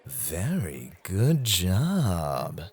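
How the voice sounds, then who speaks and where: A man playing a flirty, gentlemanly club host who teases and gently coaches in an ASMR roleplay, with courteous, theatrical phrasing. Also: low-pitched, wildly swooping pitch, very slow, husky, full character voice. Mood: playful, encouraging.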